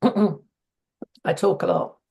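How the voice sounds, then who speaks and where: Croaky, gravelly woman. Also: on the low side, drawn-out words, warm tone. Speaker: interview guest. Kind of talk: conversational interview.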